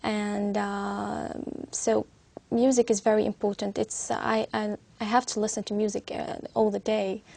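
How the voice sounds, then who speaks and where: Young Syrian woman, gravelly creak, flat pitch. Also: a little slow, no echo. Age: young adult. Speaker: student being interviewed as a speaking test candidate. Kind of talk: spoken exam answers.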